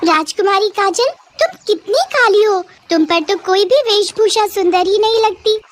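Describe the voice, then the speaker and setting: A woman's voice: very high-pitched, moderate pace, pitched above the performer's own voice. A woman voicing a taunting young girl who jeers at a playmate in a cartoon moral story. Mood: mocking. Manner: jeering, exclamatory.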